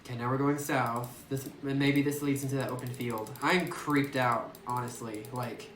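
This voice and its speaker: A man's voice: medium pitch, fairly even intonation, moderate pace, a bit bright. A man commentating a horror game as he plays, musing and getting spooked, thinking aloud with plenty of fillers. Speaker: gameplay streamer. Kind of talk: let's play commentary, reading game text aloud. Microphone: phone recording away from the speaker. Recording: echoey room, distant phone mic, faint noise.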